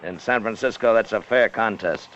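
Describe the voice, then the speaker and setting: An actor playing a ruthless, brazen sailor in a 1950s radio drama, with a cool, insolent, clipped manner. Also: male voice, on the low side, moderate pace, fairly bright tone, lightly acted. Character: ruthless, brazen murderous sailor turned first mate. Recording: muffled 1950 radio broadcast with music.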